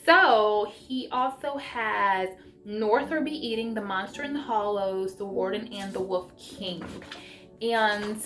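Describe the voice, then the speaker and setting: A woman's voice: medium pitch, takes it slowly, fairly bright tone. A woman chatting casually to her viewers in an effusive, encouraging way, as the host of a homeschooling vlog.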